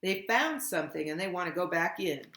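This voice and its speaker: A woman voicing a tired, gruff old grandmother in a storybook, grave and flat, each word effortful. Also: on the low side, a little fast. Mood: grave, weary. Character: weary, gruff old grandmother bearing bad news. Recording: echoey room.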